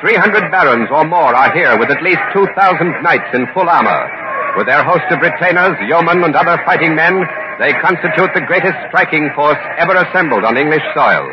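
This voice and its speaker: An actor playing an earnest American radio correspondent, reporting formally in 1940s news style. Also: male voice, a little high, animated, a little fast, lightly acted. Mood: grave, urgent. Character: earnest radio correspondent covering history live. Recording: muffled, noisy old radio broadcast.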